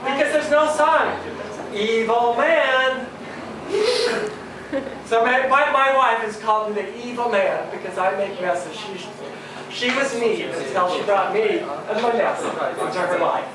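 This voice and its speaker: High-voiced man doing stand-up. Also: measured pace. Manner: chatty crowd work, asks the audience. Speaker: stand-up comedian. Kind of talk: stand-up comedy set. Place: small comedy bar. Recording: roomy bar, from far off, crowd. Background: audience laughing and chatting.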